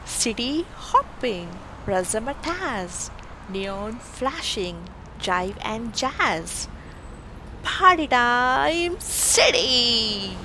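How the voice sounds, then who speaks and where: A woman's voice: a little high, big pitch swings, drawn-out words, sharp tone, a little hiss or hum. A woman reads a rhyming picture book aloud for preschoolers in a theatrical, exclamatory, rhythmic way, with music behind her. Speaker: children's book reader.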